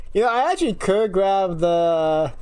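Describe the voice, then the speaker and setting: Smooth-voiced young man chatting on stream. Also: high voice, a little mellow. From United States (New York). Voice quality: slight unusual lilt left from a childhood speech impairment. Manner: rambling, loses his train of thought, chuckles often. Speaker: live streamer. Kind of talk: casual rambling chat to viewers.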